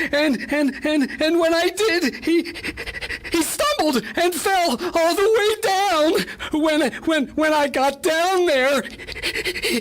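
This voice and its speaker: A woman's voice: very high voice, slight background noise, voice pushed up, heavily acted. A woman voicing a small, worn-out, cringing male janitor who stammers and whines timidly in a pulp detective drama.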